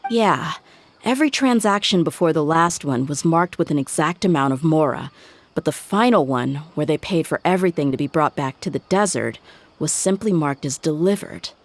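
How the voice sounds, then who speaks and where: A woman's voice: low-pitched, lively intonation, moderate pace, no echo. A young woman playing a tough, blunt, self-assured mercenary in a video game. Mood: focused, puzzled. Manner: assertive, no-nonsense. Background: game music.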